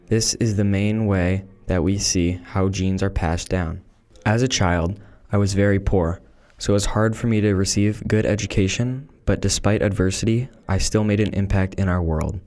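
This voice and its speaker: Man reading as a devout 1800s male scientist, low voice, scripted earnest delivery. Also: steady tone, normal speed, a little mellow, natural voice. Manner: reading a prepared script aloud. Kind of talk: school podcast role-play.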